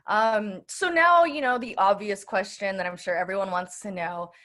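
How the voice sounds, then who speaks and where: A woman's voice: mid-range voice, moderate pace, bright, forceful tone. A woman hosting a casual interview, asking questions in an effusive, informal way. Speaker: interviewer, event host.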